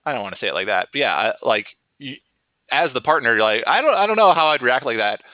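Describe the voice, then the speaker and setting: A man hosting a casual podcast about improv who talks in an animated, rambling way with frequent restarts. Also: medium pitch, quick pace, dry recording. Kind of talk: casual chat. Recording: tinny call audio, dry.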